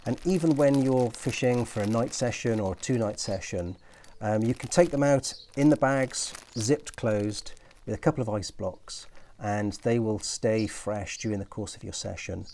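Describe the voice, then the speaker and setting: A man's voice: on the low side, normal speed, darkish tone, a little hiss or hum. A man in his sixties explaining a fishing technique in a friendly, practical way, recorded outdoors in a garden with a lapel mic. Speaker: angling presenter. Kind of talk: casual how-to explanation.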